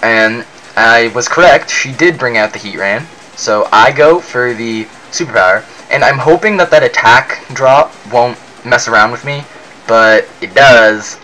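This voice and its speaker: Excitable young man narrating a game. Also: mid-range voice, measured pace, low-quality recording. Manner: chatty, excitable, many fillers. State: sick, voice a bit off. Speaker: game commentator. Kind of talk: narrating a video game battle. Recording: faint music behind.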